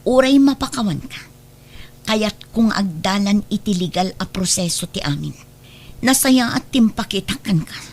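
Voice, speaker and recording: A woman's voice: medium pitch, expressive, moderate pace. A woman acting a wronged, protective middle-aged mother in an Ilocano radio drama, speaking earnestly. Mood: reproachful. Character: wronged protective mother, firm, hurt and resolute. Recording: slightly noisy radio drama track.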